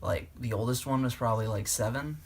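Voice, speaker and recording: A man's voice: medium pitch, brisk, husky. Laid-back man in casual conversation, mid-range voice, informal and loose delivery. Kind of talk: casual chat. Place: indoors at home.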